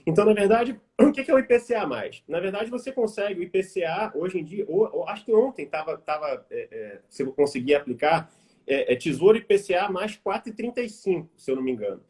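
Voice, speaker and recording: A young Brazilian man in his twenties, an investment advisor explaining things casually on a live stream, informal and quick with many fillers. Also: high voice, animated, a little mellow. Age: 20s. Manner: quick, informal, addresses audience as "gente", many fillers like "vamos dizer". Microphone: video call.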